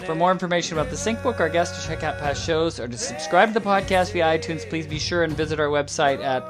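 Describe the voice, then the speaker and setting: A man's voice: fairly high pitch, fast talker. A man with a slightly raised voice hosts a book club podcast and puts questions to each member in turn. Faint music plays behind him. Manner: guiding, asks members questions. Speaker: podcast host. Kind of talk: casual book club chat and scripted outro.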